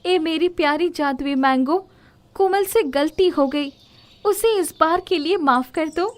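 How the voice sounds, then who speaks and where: A woman voicing a gentle, forgiving young village woman in a storybook, pleading sweetly as if in prayer. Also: sits high, a little slow, slight background noise, voice pushed up. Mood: earnest pleading.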